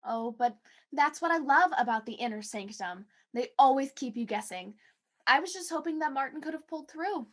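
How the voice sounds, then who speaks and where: Excitable vintage radio co-host, woman performer: exclamatory, high pitch, big melodic swings. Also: moderate pace. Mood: excited dismay. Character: excitable, theatrical female 1940s radio co-host. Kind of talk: 1940s radio acting.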